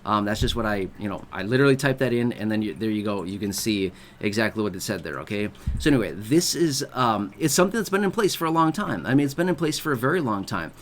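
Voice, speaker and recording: A man's voice: on the low side, lively intonation, fast talker, hardly any pauses, a little hiss or hum. A man hosting an online video, talking casually as he explains and often checks in with his listeners. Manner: conversational, keeps checking in with the listener. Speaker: video host explaining benefits.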